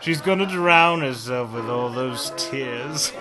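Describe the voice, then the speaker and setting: A woman's voice: very deep voice, takes it slowly. A woman playing a scornful, bullying crew member in a radio play, taunting and sneering over music. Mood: mocking. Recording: music behind the voice.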